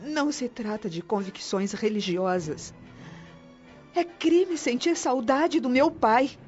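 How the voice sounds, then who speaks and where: Woman acting a sorrowful young daughter, Brazilian accent, high voice, plaintive, radio soap, dull recording. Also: lively intonation, slow pace, a little mellow. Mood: hurt, pleading. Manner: plaintive, pleading. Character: grieving young daughter missing her dead father. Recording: muffled audio with music underneath.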